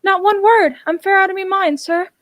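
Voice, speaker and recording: A woman's voice: extremely high pitch, normal speed, fairly bright tone, no echo. A woman playing an anxious, humble village mother in a radio drama, plain-spoken, deferential and pleading.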